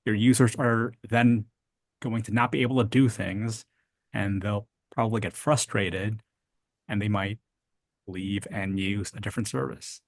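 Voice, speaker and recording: A man with a fairly low voice works as a technical instructor. He explains things informally and checks that the listener follows. The sound is very dry, with no room around it. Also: steady tone, a little slow, no room sound. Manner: explanatory, checks understanding with "right?". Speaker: programming instructor.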